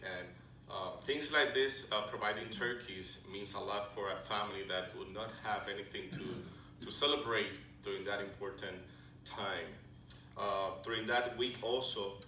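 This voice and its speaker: A middle-aged man with a Puerto Rican accent giving a friendly, halting talk to a club, with many fillers and restarts. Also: lowish voice, sharp tone, far from the mic. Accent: Puerto Rican Spanish. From Puerto Rico. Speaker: Salvation Army officer speaking to a club. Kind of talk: informal presentation to a luncheon audience. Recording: echoey hall recorded from afar, background noise.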